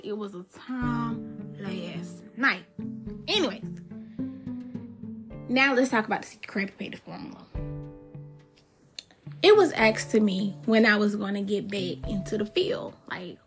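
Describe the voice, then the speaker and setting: Woman, 30, solo podcast host, casual and emphatic, repeats lines for effect, music playing under her. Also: mid-range voice.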